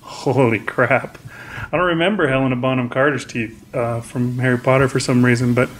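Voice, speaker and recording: A man co-hosting a podcast from home, talking in a loose, rambling, easygoing way with frequent laughs. His voice sits in a middle pitch. A faint hiss runs under the recording. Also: steady tone, normal speed, low-quality recording. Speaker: podcast co-host. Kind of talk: casual chat. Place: home room with plate on wall.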